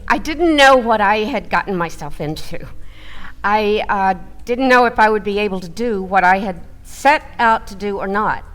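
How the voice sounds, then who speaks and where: A woman's voice: a little high, a bit bright. Woman storyteller in a reverberant hall sound. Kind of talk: dramatic first-person storytelling.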